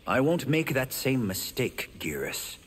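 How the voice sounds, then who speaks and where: Animated, earnest young warrior. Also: male voice, mid-range voice, a little slow. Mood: earnest, questioning. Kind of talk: scripted game dialogue. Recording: reverberant, noisy game audio.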